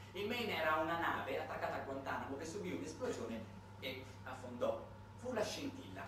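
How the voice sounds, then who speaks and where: A man narrates a satirical stage monologue. His delivery is conversational and dramatic, with animated pitch and shifting volume. The recording is very reverberant and distant, with clear background noise. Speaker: solo theatre performer and narrator. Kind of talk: theatrical monologue, satirical storytelling.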